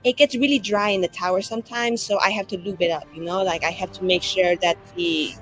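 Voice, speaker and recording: A woman's voice: mid-range voice, steady tone, brisk, fairly bright tone, faint music behind, lightly acted, rough recording. A woman playing a bawdy, self-assured author of racy romances in a tabletop game, breezy and chatty. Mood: breezy, teasing. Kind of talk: improvised tabletop roleplay.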